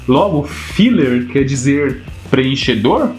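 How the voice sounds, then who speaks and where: A young man from Brazil chatting casually as he explains a topic in a vlog, with many quick asides. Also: medium pitch, lively intonation, a little mellow, soft background music. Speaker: YouTuber.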